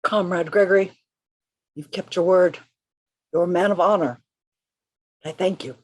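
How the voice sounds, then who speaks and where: A woman's voice: fairly low pitch, fairly even intonation, a little mellow. A woman playing a bold, defiant male undercover detective in an amateur radio play reading, with emphatic, declamatory delivery over a video call. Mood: cool, courteous. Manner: declamatory, emphatic, deliberate phrasing.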